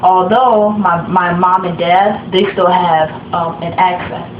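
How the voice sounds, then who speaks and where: A young woman from Africa whose first language is Swahili, telling her own story in a soft, halting way with many fillers. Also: fairly low pitch, steady tone. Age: young adult. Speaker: interviewee. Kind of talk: personal testimony. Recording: echoey room, dull lo-fi sound, noisy background.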